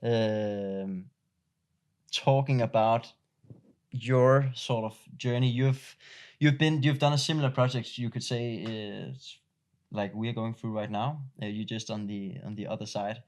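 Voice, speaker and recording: A man hosts a casual interview in a slightly low voice. He stumbles through his questions, restarting and filling gaps with "um" and "uh". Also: fairly even intonation, darkish tone. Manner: halting, many restarts and fillers. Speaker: interviewer, host.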